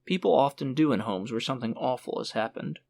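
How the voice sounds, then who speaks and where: A man narrating a literary audiobook in a measured storytelling manner. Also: medium pitch, gravelly, darkish tone, no echo.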